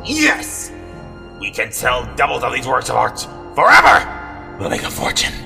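Cackly gravelly supervillain, super melodramatic, pitch all over the place, audio drama w music. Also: male voice, wildly swooping pitch, measured pace, exaggerated voice. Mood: gleeful scheming. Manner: melodramatic, prone to cackling and exclaiming. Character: cackling, scheming male villain crime boss, theatrical and gleeful.

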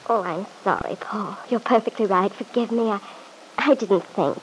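A woman's voice: medium pitch, normal speed, natural voice, poor audio. Lady acting a jumpy, emotional young woman in an old radio soap, kinda dramatic, faint hiss. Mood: flustered apology. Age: young adult. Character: emotional, suspicious, self-absorbed young woman, former touring performer.

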